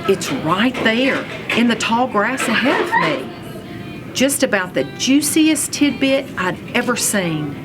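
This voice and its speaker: Female storybook reader for young children: playful, very lively intonation, slow delivery. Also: a little high, over loud music. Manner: expressive, playful, acts out sounds and exclamations. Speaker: read-aloud reader, school superintendent. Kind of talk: animated children's storybook read-aloud.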